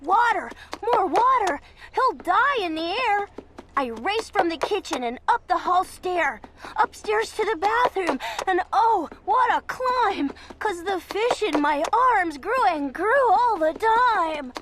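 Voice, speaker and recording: A woman reading a children's picture book aloud in an expressive, theatrical storytelling manner, over background noise. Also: very high voice, takes it slowly. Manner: theatrical, dramatic delivery for children.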